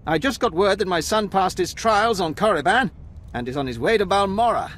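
A man's voice: high-pitched, animated, fairly quick, a bit bright. An actor playing a wry, blustering Imperial officer and anxious father in a video game, speaking in a dramatic, emphatic manner. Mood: wry, approving. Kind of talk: video game character dialogue.

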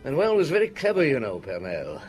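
An actor playing a shrewd, mature police chief inspector in a radio drama, musing and measured, with thoughtful hums. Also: male voice, mid-range voice, wildly swooping pitch, soft background music.

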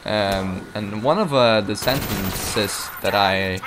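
A man's voice: on the low side, slow. A young man giving casual, rambling, self-mocking commentary while playing a game. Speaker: gameplay commentator. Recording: noisy game audio behind.

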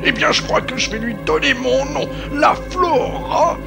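A fussy old cartoon professor, with a very high, swooping voice. He is polite, easily flustered and exclamatory, and music plays behind him. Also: expressive, a little slow. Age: older. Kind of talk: French cartoon dub.

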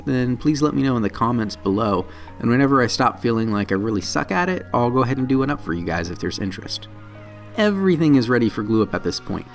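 A man in his thirties, a channel host, narrating a woodworking build in a plain, practical and self-deprecating way. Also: mid-range voice. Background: soft guitar music.

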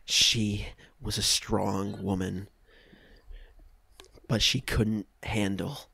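A young man improvising a comedy table read in a hesitant, halting way. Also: lowish voice, level tone, creaky, sharp tone, husky, no room sound. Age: young adult. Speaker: podcast host and improv performer. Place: room at home, on a video call.

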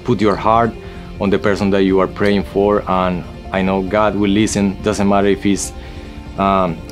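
A man's voice: deep voice, little pitch movement, normal speed. A man, around his thirties or forties, sharing a personal testimony in an informal storytelling way. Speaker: church member giving testimony. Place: indoor photo studio, seated before a backdrop. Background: background music.

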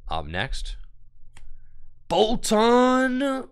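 A man opening card packs on a live stream, reading card text aloud and hyping his pulls for chat, his voice going hoarse. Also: extremely high pitch, huge pitch swings, extremely slow. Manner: enthusiastic, hype-building, reads card text aloud. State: losing his voice.

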